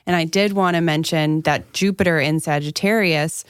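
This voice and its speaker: A woman's voice: low voice, normal speed, smooth, dry recording, clean studio sound. A woman co-hosting an astrology podcast, talking in a casual, conversational way.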